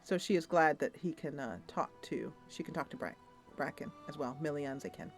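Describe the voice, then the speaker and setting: A woman narrating an improvised fantasy roleplay as a streamer, in an expressive, descriptive storytelling manner. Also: low voice, normal speed, faint music behind.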